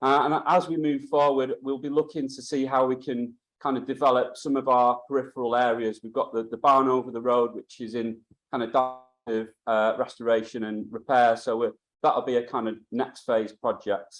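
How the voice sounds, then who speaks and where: A man's voice: mid-range voice, little pitch movement, poor audio. Guy welcoming folks to his venue, keeps restarting, middling voice. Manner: halting, many restarts and fillers. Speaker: venue manager. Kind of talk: welcome talk at a meeting. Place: theatre stage.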